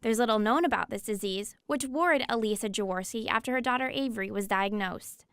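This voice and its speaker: A woman's voice: a little high, fairly quick. A young American woman reading scripted news narration as a reporter, on a noisy recording. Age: young adult.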